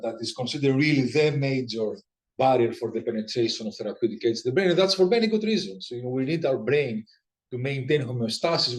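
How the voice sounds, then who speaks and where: A man in his forties from Greece with a Greek accent, giving an academic seminar talk in a conversational way with many restarts and repeated words. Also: medium pitch. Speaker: guest lecturer.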